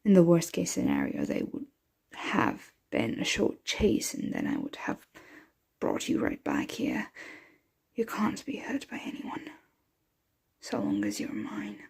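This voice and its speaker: A woman voicing a possessive, protective, motherly vampire in an intimate ASMR roleplay, breathy and often dropping into a whisper. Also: extremely low pitch, wildly swooping pitch, darkish tone, heavily acted. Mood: soothing, protective. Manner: slow, intimate, soothing address to a dear one.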